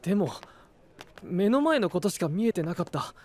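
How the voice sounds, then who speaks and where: A man playing a sincere, soft-spoken young knight in an anime-style voice drama, earnest and full of self-doubt. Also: high-pitched, big pitch swings, moderate pace, a little hiss or hum. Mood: regretful.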